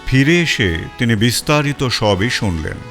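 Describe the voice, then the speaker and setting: A male storyteller reads a first-person detective story, with an animated pitch that swings through every sentence. He sits at a middle register with a dramatic, expressive delivery. Faint background music plays behind him. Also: measured pace. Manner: expressive, rising and falling.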